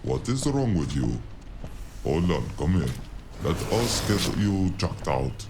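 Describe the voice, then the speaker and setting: Gruff caring fixer played by a man, extremely deep, faint noise behind, audio drama. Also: sing-song, slow pace, exaggerated voice. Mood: concerned.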